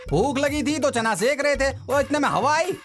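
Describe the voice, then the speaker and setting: An actor playing a boastful, cheeky village uncle in a comedy skit, bragging and wheedling with dramatic flair. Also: male voice, very high voice, animated, moderate pace, a bit bright, faint music behind, full character voice. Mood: dismissive. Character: boastful scheming village uncle, cheeky freeloading brother-in-law.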